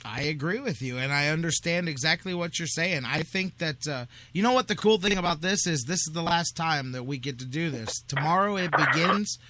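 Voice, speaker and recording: A man's voice: a little high, brisk, hardly any pauses, sharp tone, slight background noise. A man hosting sports talk radio, arguing his points emphatically and repeating phrases for stress.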